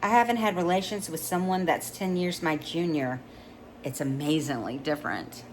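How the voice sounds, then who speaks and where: A woman's voice: low voice, normal speed, noisy background, close to an everyday voice. A woman reading the lines of a teasing, gossipy friend, close to her own voice.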